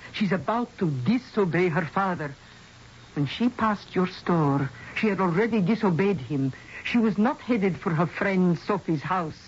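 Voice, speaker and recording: Mysterious, self-assured spirit medium, husky. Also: female voice, on the low side, darkish tone. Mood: knowing, explanatory. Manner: formal, measured, mysterious, evasive. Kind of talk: old-time radio drama acting. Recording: dull, lo-fi vintage radio recording.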